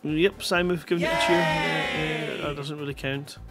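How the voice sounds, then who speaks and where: A man in his thirties hosting a live show on a headset mic, reading short stories with a drawn-out dramatic delivery between bits of banter. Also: fairly high pitch, steady tone. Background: music in the background.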